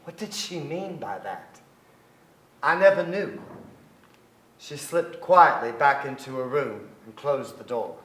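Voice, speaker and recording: A middle-aged man playing a wistful, restless son who narrates his memories onstage, reflective and halting. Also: mid-range voice, expressive, a bit bright. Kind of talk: dramatic first-person stage narration. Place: stage of a large theater. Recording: echoey theater with faint noise.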